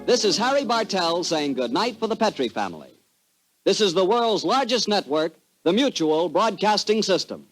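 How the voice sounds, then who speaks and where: An American man working as a 1940s radio announcer and sponsor pitchman. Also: big pitch swings, normal speed, music in the background, low-quality recording. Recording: vintage 1940s radio broadcast.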